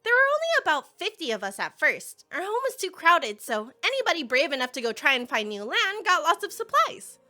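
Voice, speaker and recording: A woman voicing an eager, feisty young female villager in an animated fan dub, bubbly, slangy and playful. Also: very high voice, a little fast, hardly any pauses, soft background music, heavily acted. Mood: chatty explaining.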